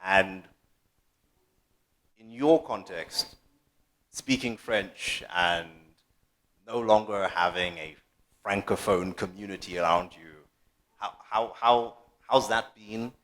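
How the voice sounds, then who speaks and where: A man's voice: lowish voice, slow, pauses often, fairly bright tone. A man from South Africa whose first language is Afrikaans, moderating a panel discussion and asking thoughtful questions with frequent restarts. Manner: thoughtful, hesitant with repeated restarts.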